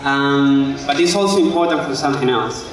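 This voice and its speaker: A man's voice: mid-range voice, brisk. Male guest lecturer, thirties, Spanish accent, hesitant phrasing, heard somewhat distantly through a heavily echoing stage PA. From Spain. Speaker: guest lecturer, architect and educator. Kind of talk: informal public lecture. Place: open-sided stage before an audience. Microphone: handheld microphone through a PA. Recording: very echoey, distant from the microphone.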